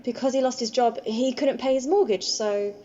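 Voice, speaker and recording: A woman acting a kind, stubbornly loyal homeless woman in an amateur radio play, plain-spoken, colloquial and a little halting. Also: fairly high pitch, dark tone, close to an everyday voice. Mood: earnest, subdued. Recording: dull lo-fi home recording, faint noise.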